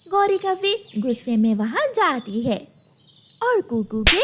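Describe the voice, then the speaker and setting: Slow, high-pitched woman narrating a children's story. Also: very animated, a bit bright.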